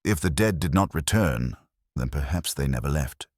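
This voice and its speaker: A man with an extremely deep voice narrates a mystery tale in measured, literary fashion. His pitch moves in lively swings, and the sound is close and dry. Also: no room sound. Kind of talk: scripted mystery story narration.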